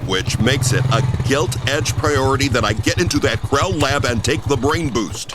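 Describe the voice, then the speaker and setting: A man's voice: mid-range voice, normal speed, very noisy, close to an everyday voice. A man playing an earnest, exasperated space cruiser commander in a comedy audio drama, stern and urgent.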